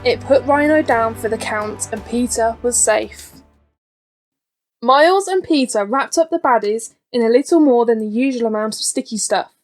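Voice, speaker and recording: Female storybook narrator, higher-pitched voice, scripted read for young children with light music underneath. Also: animated, moderate pace. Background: faint background music.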